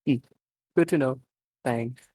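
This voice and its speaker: A mild male inventor, read by a man in a story. He is apologetic, hesitant and a little grumbly. The pitch is ordinary and the recording very dry. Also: slow pace, warm tone, no room sound, poor audio. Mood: casual.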